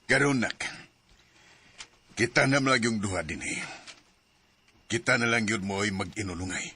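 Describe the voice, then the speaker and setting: A man playing a father who calms his son and dodges questions about his marriage. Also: fairly low pitch, normal speed, gravelly. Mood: reassuring. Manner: soothing, evasive. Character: father, separated from his wife, evasive.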